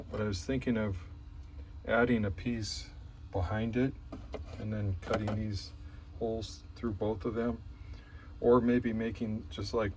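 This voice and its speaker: Man, deep voice, casual demonstration, unhurried and hesitant, noisy background. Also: darkish tone. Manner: halting, unhurried, thinking aloud. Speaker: vlogger restoring an old radio. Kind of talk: casual hands-on demonstration commentary. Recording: clear background noise.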